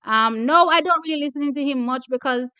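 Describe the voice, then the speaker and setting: A woman's voice: high-pitched, brisk, pushed and bright, dry recording, highs cut off. A young woman from Jamaica hosting a track and field channel, chatting casually with viewers, laughing often and repeating herself. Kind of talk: casual chat reading viewer comments.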